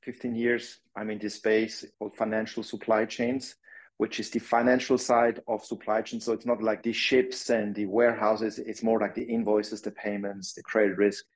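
A man's voice: lowish voice, level tone, moderate pace. A man from Switzerland with a French-Swiss accent, a company founder explaining his business step by step as a podcast guest. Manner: explanatory, walks through points step by step, non-native phrasing.

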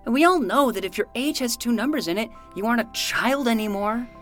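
A woman's voice: a little high, animated, brisk, faint music behind, close to an everyday voice. A woman voicing an earnest twelve-year-old boy who plays private investigator, delivering lines with solemn, melodramatic detective flair in a comedy audio drama. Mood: dramatic.

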